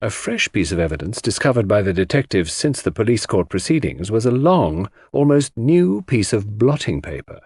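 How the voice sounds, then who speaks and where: A man narrates a detective novel in a measured, dramatic storytelling manner. His voice is husky and moves freely up and down in pitch, recorded very dry and close to silent surroundings. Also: sing-song, a little mellow, no echo. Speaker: audiobook narrator.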